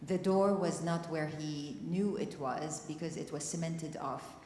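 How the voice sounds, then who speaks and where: A woman's voice: sits low, darkish tone. A woman from Palestine telling a personal story at a community discussion, emotional and close to tears. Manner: emotional, near tears, earnest. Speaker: panel speaker. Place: church hall. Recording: echoey hall, faint noise.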